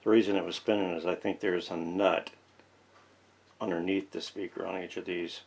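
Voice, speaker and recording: A man's voice: low voice, level tone, moderate pace, gravelly, darkish tone. A man giving casual, folksy, unscripted narration as he works with his hands, thinking aloud as he goes. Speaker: host of a vintage radio repair channel. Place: indoor workshop.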